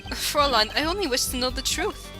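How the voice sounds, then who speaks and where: A woman's voice: high voice, expressive, brisk, sharp tone, faint music behind. A woman playing a swaggering rock-star prosecutor. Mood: smooth. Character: flashy male rock-star prosecutor, smug charmer. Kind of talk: fan voice acting of a game.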